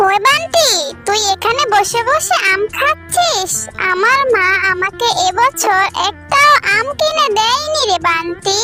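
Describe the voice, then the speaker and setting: A woman's voice: extremely high pitch, expressive, measured pace, loud music behind the voice. A woman voicing a playful, pleading little girl animal in a children's cartoon, with a whining, coaxing manner.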